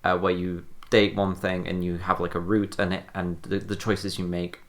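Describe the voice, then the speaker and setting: A woman co-hosting a podcast in casual chat, halting and repeating words, with lots of fillers. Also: very deep voice, flat delivery. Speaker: podcast co-host.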